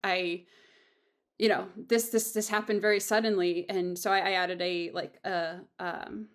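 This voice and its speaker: A woman's voice: lowish voice, little pitch movement. A woman talking casually and reflectively, earnest and effusive, often circling back and repeating herself as she goes. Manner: earnest, effusive, halting with self-repetition. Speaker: audio drama creator and host.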